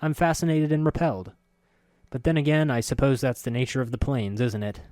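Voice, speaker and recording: A man reading a story voices a curious, sharp-spoken middle-aged woman who talks in a quick, insistent way. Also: mid-range voice, fairly even intonation, no echo, clean studio sound, lightly acted. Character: curious sharp-spoken woman, neither young nor old, newcomer.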